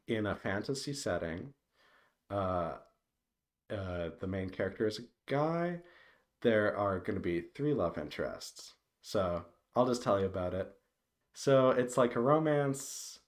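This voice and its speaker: Slow-talking man, low-pitched and hesitant. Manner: hesitant, meandering, thinking aloud with halting pauses. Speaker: visual novel developer giving a devlog update. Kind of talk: casual unscripted update to viewers.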